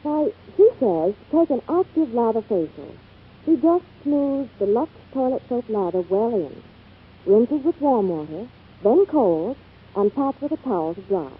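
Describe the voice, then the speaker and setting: Female vintage radio ad reporter, gushing, animated delivery, tinny narrow-band broadcast. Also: fairly high pitch, a little slow, husky. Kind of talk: scripted 1940s radio advertising.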